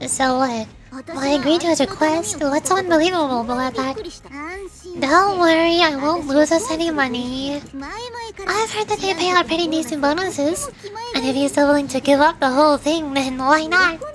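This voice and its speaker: A woman's voice: sits high, moderate pace, music in the background, close to an everyday voice. A woman voicing a shrewd, sassy young cat-girl mercenary in a video game, teasing and nonchalant. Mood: smug, nonchalant. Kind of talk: video game dialogue read aloud in character.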